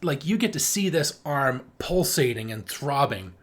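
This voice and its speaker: A podcast co-host with a medium-pitched, very animated voice that swings up and down. The delivery is casual and enthusiastic, with emphatic points and plenty of swearing, like an informal film review. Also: measured pace.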